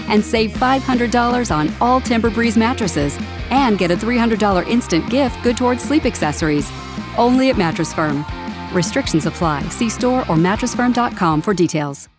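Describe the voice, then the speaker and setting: A woman's voice: on the high side, expressive, normal speed. A woman announcer reading a scripted retail radio commercial. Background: music with guitar.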